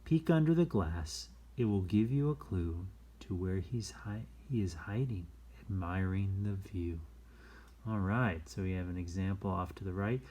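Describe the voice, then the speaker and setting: Slow, low-voiced man chatting through storytime. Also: dark tone. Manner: easygoing, rambling, explanatory asides. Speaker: storytime reader and host. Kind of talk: casual read-aloud with chatty asides.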